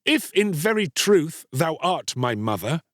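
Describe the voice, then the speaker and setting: Storybook role: proud young boy, archaic speech, raised and swooping pitch , played by a male narrator. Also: big pitch swings, moderate pace, dry recording, clean studio sound. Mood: haughty, scornful. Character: proud, scornful young boy, cruel then remorseful.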